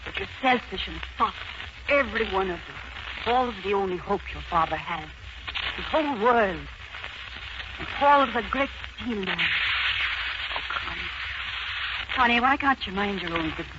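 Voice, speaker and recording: An actress playing a young Irish housemaid in love, with an Irish accent and earnest, emotional 1940s radio acting. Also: female voice, medium pitch, animated, measured pace, long pauses, natural voice. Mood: heated, pained. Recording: noisy 1940s radio broadcast, muffled.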